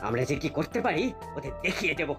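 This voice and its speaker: A woman's voice: deep voice, animated, quick pace, creaky. A woman voicing a smug, teasing youngster in a cartoon. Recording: loud background music.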